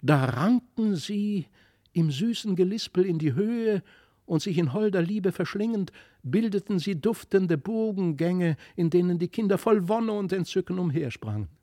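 A man reads a fairy tale in with expressive, literary phrasing. His voice sits fairly high for a man but stays mellow and soft-edged. The sound is dry and close. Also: moderate pace, dry recording. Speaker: audiobook reader.

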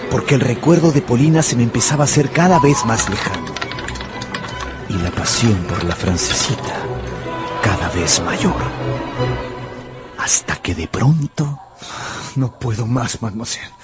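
A fervent gambler in a radio drama, recounting his obsessions like literature. His mid-pitched voice swings expressively between storytelling and dialogue, with clear music playing behind it. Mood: conflicted, then pleading. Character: passionate male gambler recounting his obsessive love and luck.

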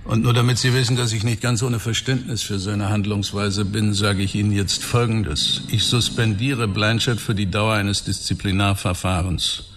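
A man's voice: on the low side, fairly even intonation, moderate pace, hardly any pauses, a bit bright, slight background noise, close to an everyday voice. A man playing a stern police chief in a radio drama, commanding, clipped and sardonic. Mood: stern, cutting. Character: stern, cutting male police chief of detectives.

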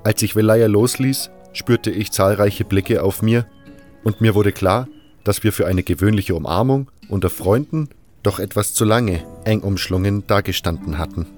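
A man reading a scripted first-person fantasy story as narrator, in a measured, literary delivery. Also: fairly low pitch, a little mellow, faint music behind. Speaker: narrator of a fan audio drama.

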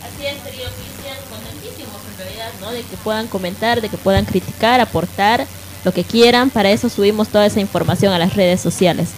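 A woman co-hosts live radio in a medium-pitched voice. She talks conversationally, with a faint steady noise behind her. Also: brisk, poor audio. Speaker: radio co-host. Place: radio programme.

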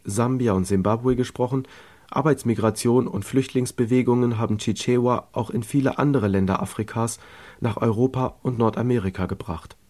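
A man reading a scripted radio feature in a calm, informative way. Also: mid-range voice. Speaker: radio narrator.

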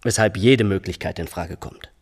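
An actor playing an ambitious, critical police officer in a radio drama, assertive and pointedly challenging. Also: male voice, deep voice, moderate pace, husky. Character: ambitious, critical, smug male police colleague. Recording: long reverberant room.

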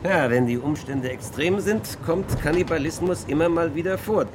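A man's voice: fairly high pitch, moderate pace, background noise, natural voice. An actor playing a pragmatic male boat captain in a German radio drama, speaking with formal, matter-of-fact authority. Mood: calm, authoritative.

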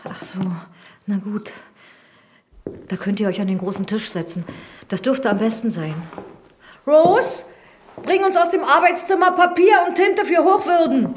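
Guarded village housekeeper. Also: female voice, high voice, unhurried, long pauses, slight background noise. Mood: wary, brisk. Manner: deferential, evasive, hesitant. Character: guarded, deferential widowed housekeeper of a village parson. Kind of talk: German radio drama acting.

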